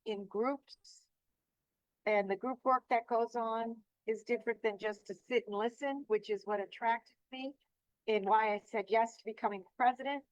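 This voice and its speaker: A woman's voice: a little high, fairly even intonation, moderate pace, dry recording. A woman who is an association president and keynote speaker, talking earnestly and emphatically in a testimonial interview. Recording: dry, slightly dull recording.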